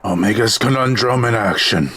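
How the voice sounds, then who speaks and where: A man playing a learned, self-assured robot scholar with a measured, pedantic delivery in an audio drama. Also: lowish voice, noisy background, close to an everyday voice. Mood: calm, lecturing.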